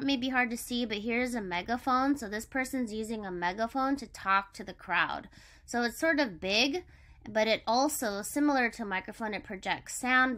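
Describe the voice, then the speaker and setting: A woman's voice: mid-range voice, sharp tone. A woman elementary school teacher giving a vocabulary lesson to children, explaining step by step with simple examples.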